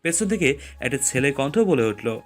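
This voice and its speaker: A man's voice: mid-range voice, sing-song, normal speed, soft background music. A man reading scripted story narration as the narrator.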